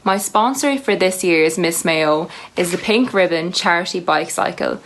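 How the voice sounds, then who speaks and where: Lower-voiced young Irish woman. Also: measured pace, slight background noise, rough recording. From Ireland. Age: young adult. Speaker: nursing student, pageant contestant.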